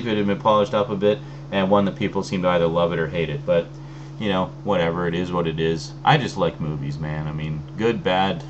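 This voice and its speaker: A man's voice: low-pitched, lo-fi sound, background noise. A man casually chatting as he shows off his latest film discs, with plenty of ums and restarts, a little phlegmy from a cold. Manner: relaxed, rambling, many fillers. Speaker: video host. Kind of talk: casual unboxing chat.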